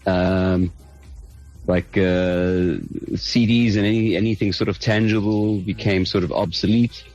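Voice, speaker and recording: Middle-aged south african guy, lowish voice, stops and restarts a lot, sounds a bit muffled. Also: steady tone, measured pace, darkish tone, faint music behind. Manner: thoughtful, hesitant, many restarts and fillers. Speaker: band lead singer, guest. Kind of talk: casual interview chat.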